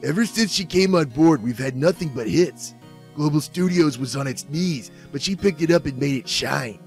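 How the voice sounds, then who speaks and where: A man's voice: a little high, expressive, moderate pace, a little mellow. A man voicing a meek, earnest, hesitant actor character in an amateur game fandub. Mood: informative. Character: meek, earnest male action-show actor, gentle and unsure. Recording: music faintly behind the voice.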